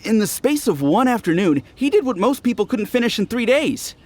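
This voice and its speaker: A friendly young village gossip from an anime-style game. His voice sits fairly high and moves in lively swings. He speaks like an eager storyteller. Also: a little fast. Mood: excited storytelling.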